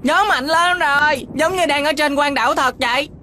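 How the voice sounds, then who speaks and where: Bright, fussy little cartoon boy. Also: high voice, normal speed, slight background noise. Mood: excited. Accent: southern Vietnamese. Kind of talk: Vietnamese cartoon dubbing.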